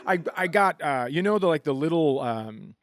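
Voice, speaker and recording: Raised-pitch rambling comic man. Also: lively intonation, normal speed. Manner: rambling, swearing, riffing on stories. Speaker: comedian podcast co-host. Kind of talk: casual comic banter.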